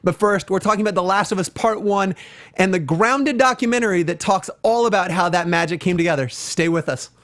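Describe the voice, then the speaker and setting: A man's voice: sits high, fairly quick, a bit bright, faint music behind. An American man co-hosting a casual, enthusiastic talk show, rambling and often interrupting himself as he goes. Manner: rambling, self-interrupting, effusive. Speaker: podcast co-host.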